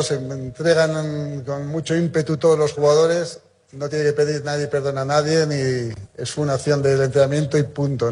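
A man's voice: a little high, a little mellow, slightly rough. A man who coaches a national team, answering a press question in a firm, matter-of-fact way.

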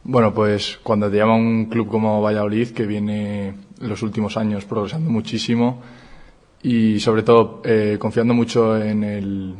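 Young man from Madrid, handball player; lowish, flat, relaxed interview voice. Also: measured pace. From Madrid, Spain. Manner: relaxed, modest, repeating words. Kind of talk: post-signing interview.

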